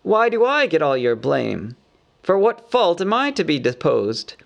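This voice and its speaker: A man reading the lines of a cunning old male town master, arguing in loud rhetorical questions with a gravelly voice. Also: a little high, pitched above the performer's own voice. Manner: indignant, rhetorical oratory.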